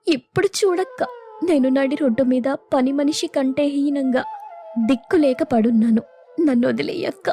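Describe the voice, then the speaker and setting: A woman's voice: high-pitched, mellow, pitched above the performer's own voice, natural voice. A woman voicing a desperate, remorseful young city girl, pleading and blaming herself in a moral story. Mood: tearful remorse. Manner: pleading, self-reproaching. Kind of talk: dramatised moral story acting.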